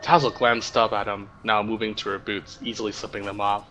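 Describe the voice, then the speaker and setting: A man's voice: fairly low pitch, level tone, a little fast, a bit bright, faint music behind. A man reading roleplay text aloud to friends over voice chat, stumbling now and then and holding back laughter. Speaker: story reader. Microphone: online voice chat microphone. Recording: faint background noise on voice chat.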